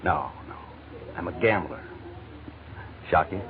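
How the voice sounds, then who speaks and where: A slick gambler, tinny old radio. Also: male voice, low voice, animated, slow, exaggerated voice. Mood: coaxing. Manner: slangy, clipped, slick. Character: smooth-talking male gambler, persuasive, secretly dangerous crook. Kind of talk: 1940s radio drama acting. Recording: tinny, noisy vintage radio recording.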